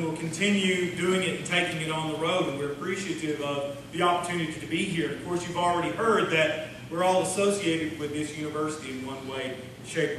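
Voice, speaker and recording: A man from Oklahoma with an American accent, hosting a live stage radio show in a sincere, homespun, folksy way. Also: a little high. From Oklahoma, USA. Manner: sincere, homespun address to the audience. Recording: echoey hall from far off, noisy.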